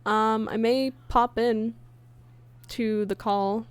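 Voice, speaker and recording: A woman chatting casually on a livestream as she works, halting and half talking to herself in an absent-minded way. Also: fairly high pitch, fairly even intonation, clean tone. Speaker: livestreamer and comic dub creator. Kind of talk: casual livestream chat while working. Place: indoors at a computer, streaming.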